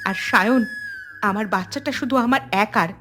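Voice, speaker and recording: A woman's voice: a little high, normal speed, a bit bright, natural voice. A woman voicing a wronged, bitter young mother and daughter-in-law in a dramatic cartoon, accusing and indignant. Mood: angry, accusing. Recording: music behind the voice.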